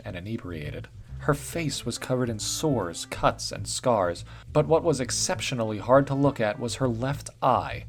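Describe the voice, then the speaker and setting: A man narrating a written story in a steady, even storytelling read. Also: mid-range voice. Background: faint guitar music.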